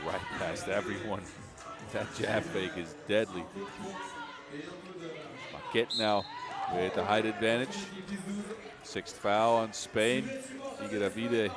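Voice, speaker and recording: A man with a Dutch accent giving fast live basketball play-by-play, his energy rising with each play. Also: lowish voice. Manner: energetic, rising with the action, self-mocking asides. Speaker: play-by-play commentator. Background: music.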